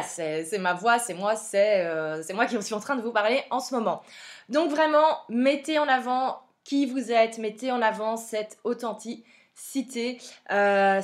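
A young woman with a Belgian French accent hosting a podcast, chatty and frank with plenty of fillers. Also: fairly high pitch. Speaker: podcast host. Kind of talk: casual advice podcast.

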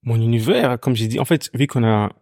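A young man from Ghana with a Ghanaian accent talks through his story in an easygoing way. He loops back on his own phrases and fills the gaps with hesitations. His voice is warm and dark, and the dry recording keeps it close. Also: medium pitch, fairly quick, no room sound. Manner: relaxed storytelling, many fillers and repeated phrases. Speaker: music artist guest. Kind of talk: casual conversation.

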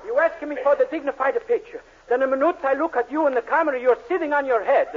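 Casting: very high-voiced, excitable fake-Italian photographer, radio comedy, muffled noisy vintage recording. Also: male voice, fast. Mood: flustered, bossy. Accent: put-on Italian. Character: young man posing as excitable Italian photographer. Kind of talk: 1940s radio sitcom acting.

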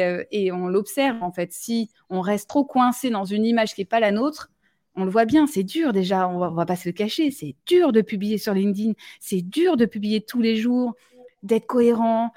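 A woman's voice: on the high side, darkish tone. A woman hosting a live talk, speaking directly and plainly, often restarting her sentences. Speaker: live show host. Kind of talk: casual live discussion.

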